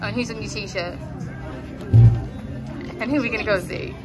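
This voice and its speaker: A woman's voice: mid-range voice, normal speed, over loud music. A woman, chatting casually as a family vlogger and often checking in with tag questions. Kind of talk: casual vlog chat with her child. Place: busy theatre, then inside a moving car. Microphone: phone at arm's length. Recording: noisy handheld recording with crowd and vehicle noise. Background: crowd chatter, car and ambient noise.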